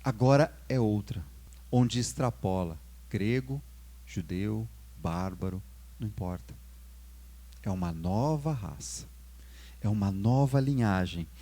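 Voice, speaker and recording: A young adult Brazilian pastor with a Brazilian Portuguese accent, preaching a sermon, lifting his voice on key words and dropping low at the ends of phrases. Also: animated, slow, pauses often, warm tone.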